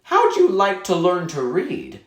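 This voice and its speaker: A man's voice: sits high, wildly swooping pitch, unhurried, voice pushed up, heavily acted. A man reading the part of a friendly, encouraging Irish aid worker in a storybook. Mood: friendly, inviting. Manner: kindly, encouraging, informal. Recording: echoey room.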